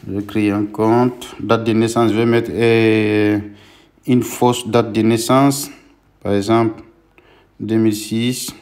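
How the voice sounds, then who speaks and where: A man's voice: on the low side, level tone, slow, a little mellow. Young man giving a casual pep-talk tutorial. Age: young adult. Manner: pep-talk tone, addresses viewers as "les gars". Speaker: content creator.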